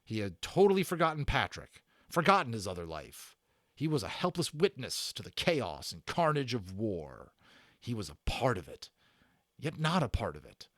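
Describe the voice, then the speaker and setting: A man narrating a children's adventure novel aloud as a dramatic read-aloud, with theatrical emphasis and deliberate pauses. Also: mid-range voice, big pitch swings, a bit bright, no room sound, clean studio sound. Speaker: audiobook narrator and channel host.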